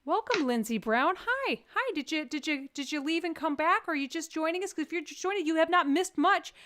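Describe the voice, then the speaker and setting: High-voiced Texan woman hosting with jokes. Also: lively intonation, fairly bright tone. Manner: joking, rambling, quick asides. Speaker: comedian hosting a live stream. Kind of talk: casual comedic chat.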